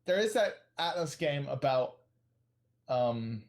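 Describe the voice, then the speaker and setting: A man's voice: medium pitch, animated, slow pace. A man chatting casually as a panelist in a podcast quiz game. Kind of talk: casual group banter.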